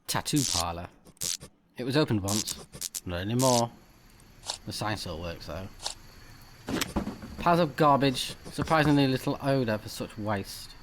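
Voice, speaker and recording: A man's voice: mid-range voice, expressive, measured pace, pauses often, background noise. A man hosting a let's play, mixing playful, reactive commentary with reading the game's text aloud.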